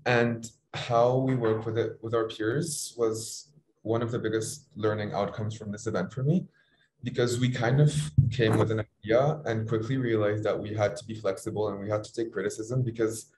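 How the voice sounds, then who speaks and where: A man's voice: lowish voice, steady tone, normal speed, a little mellow. A young man from Morocco, a student panelist giving a reflective answer in a discussion. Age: young adult. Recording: roomy, echoey sound.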